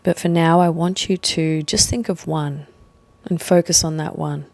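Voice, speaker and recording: A woman leading a guided meditation, speaking gently and leaving long pauses between short phrases. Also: low voice, fairly even intonation, vocal fry, no room sound. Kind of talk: guided meditation, slow soothing instruction.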